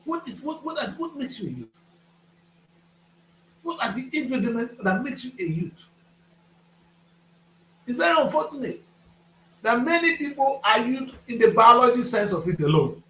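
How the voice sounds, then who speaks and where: A man in his fifties hosts a talk show, firing emphatic rhetorical questions. His voice sits high. The studio sound is roomy and echoey. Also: big pitch swings, moderate pace, long pauses, bright, forceful tone, a little hiss or hum. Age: 50s.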